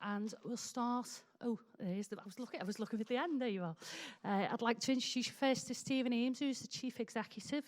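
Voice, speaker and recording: Liverpool lady chairing a town hall meeting, chatty, fairly high voice. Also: darkish tone.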